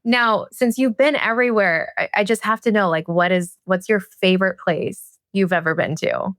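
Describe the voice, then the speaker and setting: A woman's voice: mid-range voice, steady tone, normal speed, fairly bright tone, no echo, studio quality. A woman, a podcast host speaking in a casual, conversational interviewing style.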